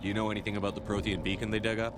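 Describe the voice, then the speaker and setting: A man's voice: fairly low pitch, flat delivery, very fast, natural voice. A man playing a stern, commanding space soldier in a video game, terse and businesslike as he questions people. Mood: firm, businesslike. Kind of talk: cinematic sci-fi game dialogue. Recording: faint background noise.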